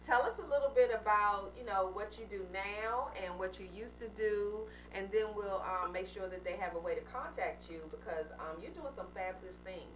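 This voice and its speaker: A woman's voice: mid-range voice, normal speed, hardly any pauses, a bit bright, a little hiss or hum, poor audio. A woman hosting a radio talk show, introducing a guest in a chatty, upbeat way with frequent fillers.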